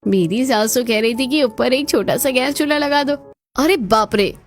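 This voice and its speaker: A woman's voice: sits high, moderate pace, lightly acted. A woman voicing housewives and mothers-in-law in a family cartoon, chatty and exclamatory. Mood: weary complaining. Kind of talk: scripted cartoon dialogue.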